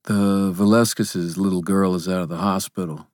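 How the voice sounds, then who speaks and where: A man's voice: sits low, steady tone, moderate pace, darkish tone, no echo, natural voice. A sturdy young man gives news in a plain, matter-of-fact way, as a male narrator voices him. His voice stays close to the reader's own, recorded dry and close.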